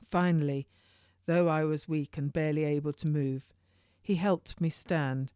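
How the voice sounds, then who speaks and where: A woman narrates a historical novel in the first person. Her voice sits fairly low, and she reads informally like an author sharing her own work, with the odd stumble. The sound is close and dry. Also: steady tone, measured pace, warm tone, clean tone, no echo. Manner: informal read-aloud with occasional stumbles.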